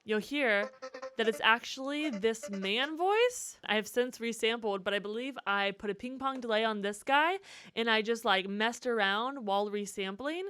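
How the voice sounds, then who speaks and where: A woman's voice: a little high, expressive, moderate pace, a bit bright, clean tone. A woman giving a casual, enthusiastic walkthrough of music production in informal, slangy language, as a musician teaching. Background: music from the track being demonstrated.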